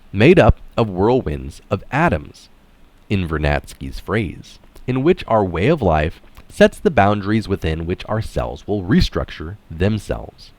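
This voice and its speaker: A man reading an article aloud in narration style, dropping in casual, opinionated asides of his own. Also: deep voice. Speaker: reader of an article adding his own comments.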